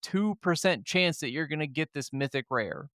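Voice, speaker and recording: A man's voice: fairly high pitch, lively intonation, normal speed, fairly bright tone, no room sound, clean studio sound. A man co-hosting a gaming podcast, chatting casually and irreverently, with frequent swearing. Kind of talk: casual opinionated podcast chat.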